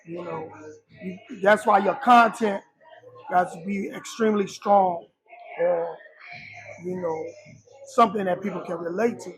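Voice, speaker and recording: Middle-aged guy from liberia, kinda high voice, soft and halting, crowd noise around. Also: vocal fry, poor audio. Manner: soft-spoken, halting with many fillers. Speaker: rapper, guest. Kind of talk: casual interview.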